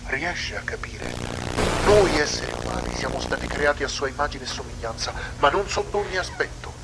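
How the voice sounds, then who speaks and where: A man's voice: a little high, animated, a little fast, very noisy, lightly acted. A man playing a solemn, fanatically religious sculptor in a horror drama, speaking in a grandiose, brooding, dramatic way. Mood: brooding, zealous. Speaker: talk show guest. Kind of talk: horror drama monologue in interview form.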